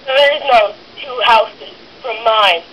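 A man's voice: very high-pitched, takes it slowly. A man on a phone call who talks politely and formally, asks many questions, and sounds suspicious. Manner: polite and formal, calls the caller sir. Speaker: prank call target. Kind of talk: phone conversation. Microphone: heard through a phone speaker.

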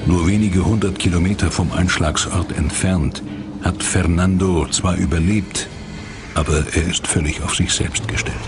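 A man's voice: low-pitched, steady tone, normal speed. A man narrating a documentary from a script in a grave, dramatic and portentous manner. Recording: loud cinematic music behind. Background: loud dramatic music.

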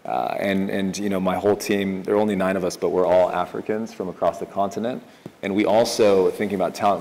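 A Ghanaian man with an American, Californian-sounding accent, speaking quickly as a fund manager on a conference panel. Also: low-pitched, a little mellow, slight background noise. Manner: fast, enthusiastic, many restarts. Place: large conference hall stage. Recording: echoey hall with faint ambience.

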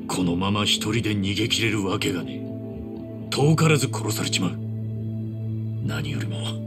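An actor playing a loyal, earnest street-tough man in a game cutscene, speaking roughly and from the heart. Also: lowish voice, sing-song, normal speed, music in the background. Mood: grave, sincere. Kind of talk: dramatic game cutscene acting.

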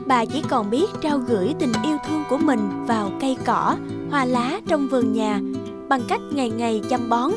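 A woman's voice: a little high, expressive, moderate pace. A woman narrating a scripted children's fairy tale as a storyteller. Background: children's guitar music.

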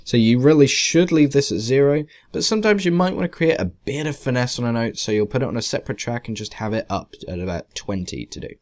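A man narrating a software tutorial casually, in a rambling, hesitant, self-deprecating way. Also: mid-range voice, expressive, fast talker, hardly any pauses. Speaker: tutorial presenter. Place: indoors, screen-recording voice-over.

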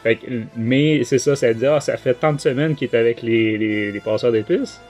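A Québécois man with a mellow, soft-edged voice talks casually as a podcast host reviewing books. He rambles in colloquial speech, often hesitating, at an average pitch, with faint music behind him. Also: moderate pace. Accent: Québécois French. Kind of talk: casual book review chat.

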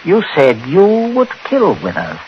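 A man acting in a 1940s radio drama as a coldly menacing patriarch who speaks deliberately, precisely and with command. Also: sits high, wildly swooping pitch, highs cut off, heavily acted. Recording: noisy old radio recording.

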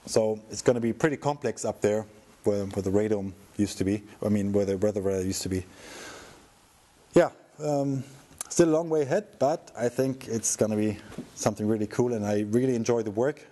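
A middle-aged man explaining a restoration project as its presenter, in a halting, thinking-aloud way. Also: fairly low pitch, warm tone.

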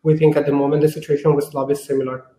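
A man speaking as a panelist on a webinar over a video call, measured and even. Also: on the high side, roomy sound. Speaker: panelist, exchange representative.

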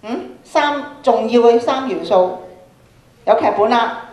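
A woman's voice: fairly high pitch, lively intonation, takes it slowly, a little mellow. A woman with a Hong Kong Cantonese accent teaching a workshop to students, prompting them with questions and repeating phrases for emphasis, speaking on a handheld microphone in a large auditorium. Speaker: workshop speaker, radio drama trainer. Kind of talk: interactive lecture to students. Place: large school auditorium, indoors. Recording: echoey auditorium PA sound.

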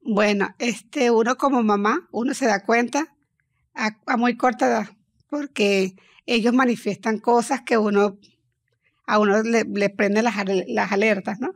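Middle-aged Venezuelan woman, hesitant storyteller, gravelly higher voice, dry close sound. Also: steady tone, dry recording. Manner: hesitant, halting, with many restarts. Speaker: guest. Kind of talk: personal storytelling in an interview.

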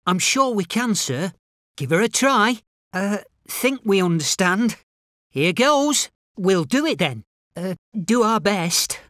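A timid infantryman in a war game, with a notably high voice that swings up and down. He sounds unsure of himself and hesitates politely, taking his words slowly. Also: sing-song, a bit bright, dry recording, clean studio sound, full character voice. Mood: hesitant, obedient. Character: timid, unsure British infantry soldier, eager to obey. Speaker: infantry soldier unit voice. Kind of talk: video game unit barks.

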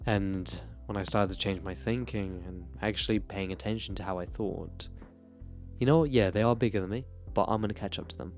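A man's voice: fairly low pitch, a little fast, soft background music. A young man giving earnest, motivational self-improvement advice straight to camera, speaking close into a desk mic in a small bedroom. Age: young adult. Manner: earnest, motivational, direct address. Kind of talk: talking-head advice monologue. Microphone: desk broadcast mic close to mouth.

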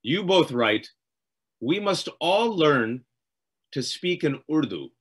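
A man's voice: on the high side, sing-song, takes it slowly, husky. A man in his thirties from the United States, hosting a video-call interview from a room lined with bookshelves, talking into a podcast mic and gesturing with his hands. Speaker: host.

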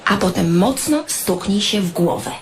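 A woman's voice: medium pitch, expressive, moderate pace, natural voice. A woman playing a practical, loving but exasperated partner in a radio drama, chiding and patient. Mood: sardonic. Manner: chiding, patient, sometimes breathy half-whispers. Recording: clearly noisy background.

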